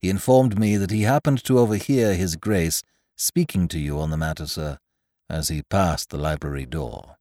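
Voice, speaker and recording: A grave, unflappable gentleman's valet, as a male reader puts him on, his voice dropped very low and soft. He speaks with formal, measured deference. The sound is dry and close. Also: dry recording, natural voice. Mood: deferential.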